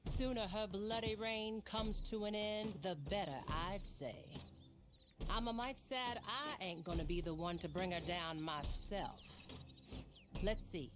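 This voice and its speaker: Woman as a slow-talking, wry American frontierswoman. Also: mid-range voice, close to an everyday voice. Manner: wry, folksy, unhurried. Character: wry, world-weary female bounty dispatcher of the Old West. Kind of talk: western game character monologue.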